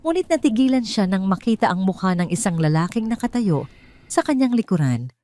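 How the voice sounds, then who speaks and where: Low-pitched Filipino woman narrating a story. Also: darkish tone, dry recording. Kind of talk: scripted dramatic story narration.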